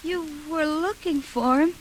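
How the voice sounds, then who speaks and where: Eerie, slow, high-pitched madwoman. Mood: eerie calm. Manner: halting, dreamy, childlike phrasing. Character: deranged grey-haired sister, childlike, eerily vacant, menacing. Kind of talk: 1940s radio suspense acting. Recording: noisy old radio drama recording.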